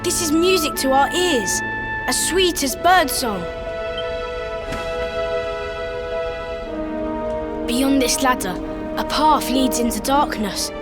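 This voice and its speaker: An earnest little boy from a video game, high in pitch and very measured in pace. He speaks formally and poetically, with loud music behind him. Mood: solemn. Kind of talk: video game cutscene acting.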